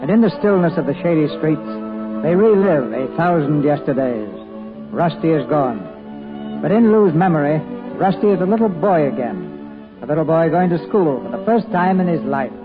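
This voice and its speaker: A man's voice: fairly high pitch, expressive, normal speed, lightly acted. A man narrating an old-time radio drama in a solemn, dramatic, scripted style. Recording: dull old radio recording with music.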